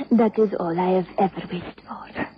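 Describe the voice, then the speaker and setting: A woman's voice: on the low side, unhurried, natural voice. An actress playing a greedy, scornful, scheming young woman in a radio drama. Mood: gloating. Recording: dull, noisy old radio recording.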